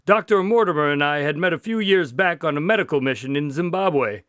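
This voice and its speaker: A man's voice: fairly high pitch, animated, fairly quick, a bit bright, clear, resonant tone, no echo, studio quality. An actor playing a genial male doctor who recounts detective adventures in a radio drama, polite and wry. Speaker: storyteller and narrator. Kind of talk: modern radio drama acting.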